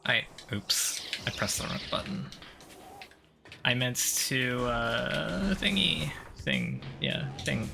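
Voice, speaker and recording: A man's voice: mid-range voice, huge pitch swings, slow, creaky, background noise. A man giving casual gameplay commentary, thinking aloud in a hesitant, self-deprecating mutter with some mild swearing. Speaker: gaming streamer playing through a game. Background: game music.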